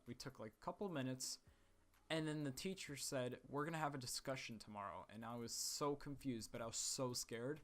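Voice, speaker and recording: A teenage boy from the Czech Republic recounts a school story in a loose, rambling way. His voice sits at a middle pitch, and he keeps circling back with fillers and half-finished restarts, like a casual gaming storyteller at his desk mic. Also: a bit bright. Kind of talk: casual story time over gameplay.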